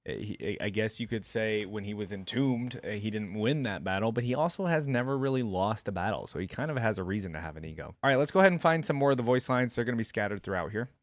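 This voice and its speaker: A man's voice: fairly low pitch, animated, fast, hardly any pauses. A man hosting a reaction video, giving casual commentary.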